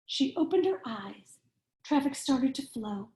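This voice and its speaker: A woman reading a children's storybook aloud in a measured, expressive storytelling manner. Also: high voice. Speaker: cantor reading a bedtime story.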